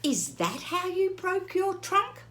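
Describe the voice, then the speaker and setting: An excitable little pig girl, as a woman reads her aloud, squeaky and high, darting up and down as she fires off eager questions. Her lines are full of childlike curiosity in a storybook for kids. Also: takes it slowly, slight background noise, exaggerated voice.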